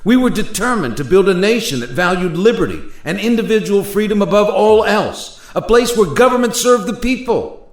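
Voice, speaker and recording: A man playing an earnest, formal statesman from centuries past in a radio play, with measured, oratorical delivery. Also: high voice, animated. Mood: solemn, oratorical. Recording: roomy, echoing sound.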